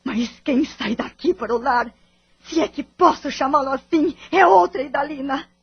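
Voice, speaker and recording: A woman's voice: high voice, normal speed, gravelly, highs cut off. A woman acting in an audio drama with a Brazilian accent, playing a bitter, wounded wife and devoted mother in formal, melodramatic phrasing. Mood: devastated, bitter.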